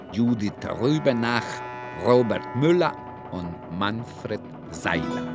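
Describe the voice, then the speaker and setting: A man reading a scripted announcement of radio play credits. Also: mid-range voice. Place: studio.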